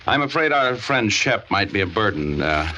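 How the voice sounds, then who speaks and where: Wisecracking low-voiced radio private eye. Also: male voice, very animated, normal speed. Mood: sardonic, amused. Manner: sardonic, slangy, breezy. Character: wisecracking hard-boiled male private detective. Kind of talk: 1940s radio detective drama acting. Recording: noisy, muffled old radio recording with clicks.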